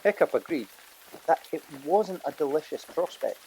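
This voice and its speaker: A man's voice: a little high, expressive, moderate pace, mellow, breathy edge, rough recording. A man reading a children's adventure audiobook as a scripted narrator.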